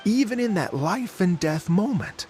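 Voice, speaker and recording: An actor playing a polite, mild-mannered wandering musician in an anime game, earnest and a little hesitant, creaky at times. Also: male voice, high-pitched, lively intonation, a little slow, a little mellow, faint music behind. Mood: storytelling. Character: genteel, mild-mannered wandering musician, adult man, wistful storyteller.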